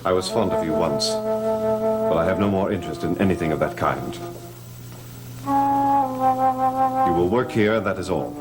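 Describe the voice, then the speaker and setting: Stern grieving mansion master, low pitch, formal clipped speech, gothic soap melodrama, loud orchestral score. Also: male voice, very animated, fairly quick. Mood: stern, wounded. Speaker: actor in a gothic television soap opera. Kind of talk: melodramatic soap opera acting. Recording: old TV soundtrack with loud orchestral music.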